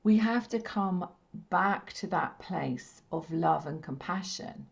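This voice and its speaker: A woman with a slightly croaky, hoarse throat, leading a spiritual healing session in a gentle, repetitive, soothing way. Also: on the low side, animated, slow. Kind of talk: slow meditative teaching talk. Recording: dull lo-fi stream audio.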